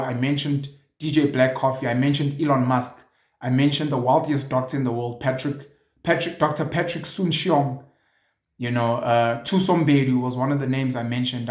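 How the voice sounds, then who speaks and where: A South African man in his thirties hosting a show, talking earnestly and reflectively with many fillers. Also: mid-range voice. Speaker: show host. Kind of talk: casual monologue to camera. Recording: echoey room.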